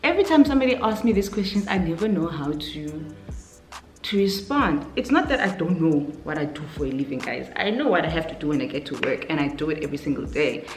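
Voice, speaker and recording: A South African woman in her late twenties speaks in a slightly low voice. She talks informally, as in a casual vlog, working out her answers aloud. The room is clearly echoey, with faint music playing underneath. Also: very animated, fast talker, a little mellow. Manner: informal, conversational, thinking aloud. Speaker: YouTuber, vlogger.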